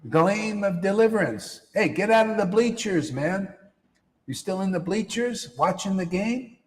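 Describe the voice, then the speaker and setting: A man giving a lively spiritual talk to a live audience, slangy and repetitive, often calling listeners "man". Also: high-pitched, measured pace, in a large hall. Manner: animated, slangy, repeats phrases, calls listeners "man". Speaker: spiritual teacher. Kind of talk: casual live-stream talk.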